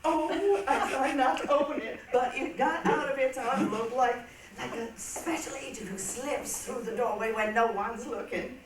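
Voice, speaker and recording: A woman's voice: sits high, normal speed, a crowd in the background, lightly acted. A woman playing a whimsical, put-upon storyteller in a staged reading, wry and theatrical. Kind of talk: stage readers' theatre monologue. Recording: echoey hall, distant mic, faint noise.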